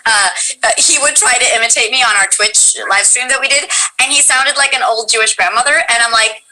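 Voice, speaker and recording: Effusive young female host, bright, forward voice, fast casual chat. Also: low-quality recording. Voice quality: deep voice for a woman. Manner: effusive, gushing and chatty. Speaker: voice actress hosting a stream interview.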